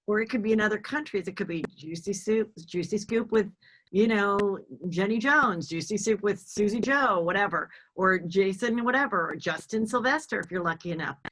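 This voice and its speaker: A middle-aged woman hosts a casual podcast conversation in a bright, forward voice. She rambles, restarts her sentences and fills the gaps with filler words as she talks. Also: mid-range voice, normal speed, a little hiss or hum, rough recording. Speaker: podcast host. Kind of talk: casual chat.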